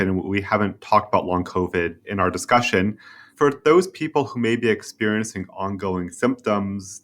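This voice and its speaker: A man's voice: lowish voice, a bit bright. A man hosting a formal podcast interview, posing questions to a guest.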